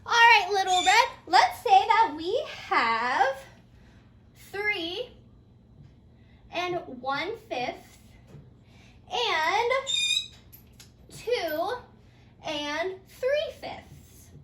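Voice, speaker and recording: A woman's voice: very high voice, very animated, very slow, long pauses, fairly bright tone. A woman teaching a playful fairytale math lesson step by step, encouraging her pupil with sing-song questions and repeated answers. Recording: roomy echo, faint background noise.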